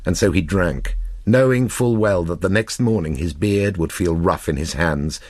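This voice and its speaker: A man narrating a literary short story in a measured, expressive storytelling manner with deliberate pauses. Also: deep voice, dry recording, clean studio sound.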